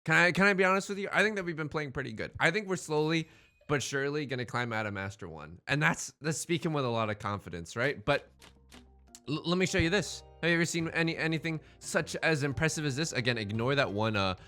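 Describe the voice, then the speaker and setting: A man with a bright, forceful voice gives casual game commentary as a host. His pitch swings widely as he rambles, repeats words and drops in joking asides, with faint music behind him. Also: expressive, fast talker. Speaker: host of a gaming Let's Play series.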